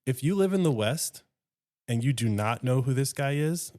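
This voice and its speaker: A man's voice: medium pitch, animated, moderate pace, a little mellow, no echo, studio quality. A man co-hosting a podcast, chatting casually and giving blunt opinions to his partner. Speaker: podcast co-host. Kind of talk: casual couple chat.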